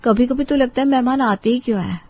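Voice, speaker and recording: A woman's voice: high voice, brisk, mellow, voice pushed up. A woman storyteller voicing a polite, obliging young daughter-in-law in a family story. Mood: weary. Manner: deferential, obliging.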